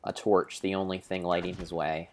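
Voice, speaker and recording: A man giving a grave, theatrical, storybook-style narration of video game play, in deliberate phrases with pauses. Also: low-pitched, flat delivery. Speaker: streamer narrating his gameplay.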